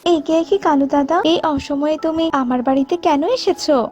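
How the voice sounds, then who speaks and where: Cartoon mother bird, firm yet caring, given to lectures, extremely high pitch; woman performer. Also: steady tone, brisk, a little mellow, muffled recording, soft background music, lightly acted. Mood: stern, scolding. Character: firm, kind-hearted mother bird, scolding and advising.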